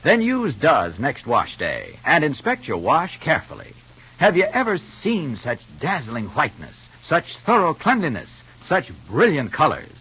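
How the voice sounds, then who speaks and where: A man with an American accent reading as a scripted 1950s radio announcer, emphatic and deliberate. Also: on the high side, huge pitch swings, bright, forceful tone, husky. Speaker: announcer and narrator. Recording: dull vintage radio recording.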